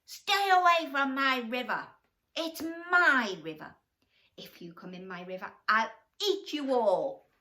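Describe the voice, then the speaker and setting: A woman voicing a big, selfish male crocodile in a children's storybook, with loud, exclamatory, drawn-out delivery. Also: on the high side, expressive, pitched above the performer's own voice. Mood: angry, threatening. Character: big selfish bullying male crocodile, grateful once cured.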